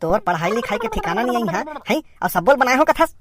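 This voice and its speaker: A woman's voice: on the high side, sing-song, fast talker, background noise. A woman voicing a strict, nagging village parent in a comic cartoon, with a Chhattisgarh accent and an exasperated, questioning delivery. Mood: scolding.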